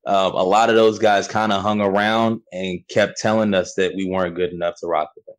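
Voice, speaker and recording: A young man with a low voice talks in a loose, slangy way. He keeps dropping "you know what I'm saying" as he tells his story, and his delivery is relaxed and unhurried. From United States. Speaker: battle rapper guest. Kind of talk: casual interview chat.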